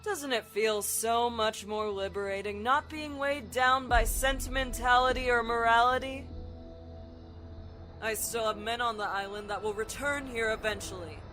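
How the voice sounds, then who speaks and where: A woman playing a ruthless, condescending crime boss in an audio drama, speaking with deliberate menace. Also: a little high, close to an everyday voice. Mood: cold taunting. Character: cold, taunting female crime boss, ruthless drug maker. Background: music.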